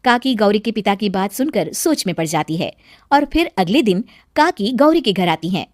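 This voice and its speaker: A woman's voice: medium pitch, very animated, moderate pace, dry recording, studio quality. A woman giving scripted narration for a children's moral cartoon story.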